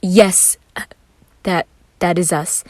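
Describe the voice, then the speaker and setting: Woman voicing a shy, halting, gloomy young man. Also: fairly low pitch, steady tone, a little mellow, no room sound. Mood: awkward. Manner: halting, stammering.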